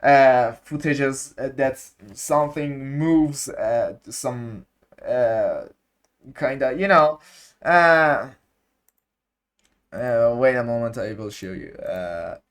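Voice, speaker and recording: A man explaining video editing effects, speaking in halting English with many pauses and fillers as he searches for words. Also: mid-range voice. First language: Persian. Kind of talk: unscripted screen-recorded tutorial.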